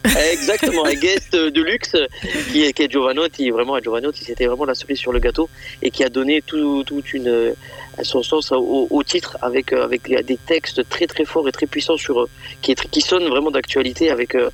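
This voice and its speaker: A man's voice: medium pitch, moderate pace, rough recording. A man from eastern France, a radio guest and event organizer, speaking hesitantly with many fillers and repeated words. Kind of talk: radio interview.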